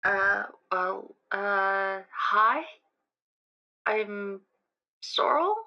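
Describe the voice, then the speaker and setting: A woman's voice: mid-range voice, extremely slow. A woman playing a nervous fifteen-year-old calling a radio show, hesitant and apologetic, on a slightly muffled line. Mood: nervous, shy. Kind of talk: improvised audio drama.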